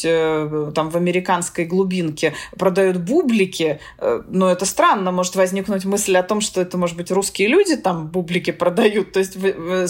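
A woman from Russia with a slight Russian accent, a philologist answering interview questions thoughtfully, with frequent fillers and easy laughter. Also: on the low side. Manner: thoughtful, hesitant with many fillers, laughs easily. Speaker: guest, philologist and Russian teacher in the US.

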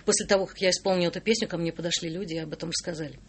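A woman's voice: lowish voice, fairly even intonation, rapid-fire, slightly rough. A middle-aged woman, a singer-songwriter guest, speaking thoughtfully with frequent hesitations in a radio interview.

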